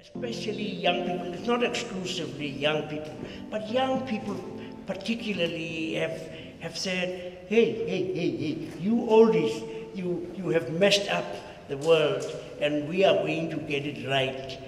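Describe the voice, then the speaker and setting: A man's voice: on the high side, measured pace. A man speaking emphatically and animatedly in a public talk. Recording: roomy hall with long reverb.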